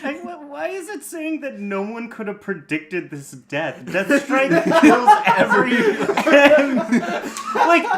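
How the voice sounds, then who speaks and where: A woman's voice: sits high, sing-song, slow pace, a crowd in the background. A woman bantering casually and mockingly about a book, often laughing as she talks. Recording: roomy, with clear music behind.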